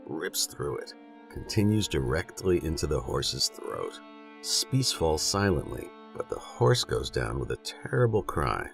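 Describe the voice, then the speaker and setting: A man narrating a western novella in a measured, dramatic storytelling manner. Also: sits low, creaky. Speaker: audiobook narrator.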